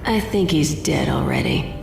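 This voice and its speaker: Game femme fatale assassin: low, cool, sardonic, roomy echo. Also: female voice, huge pitch swings, normal speed, vocal fry, exaggerated voice. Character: cool, tough female professional killer, femme fatale. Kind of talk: noir video game acting. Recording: roomy echo, music behind.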